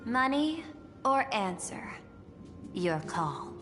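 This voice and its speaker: An actress playing a shrewd, poised robot executive in a video game, with dry irony. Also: female voice, fairly high pitch, very animated, very slow, long reverb tail, heavily acted. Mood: coolly persuasive. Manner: poised, dryly ironic. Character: shrewd, composed female robot corporate dealmaker. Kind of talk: dramatic video game voice acting. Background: game music.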